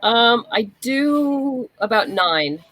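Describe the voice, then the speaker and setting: A woman's voice: on the high side, lively intonation, extremely slow, fairly bright tone, poor audio. A woman being interviewed, chatting casually and explaining things with plenty of "you know". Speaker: interview guest, comic writer.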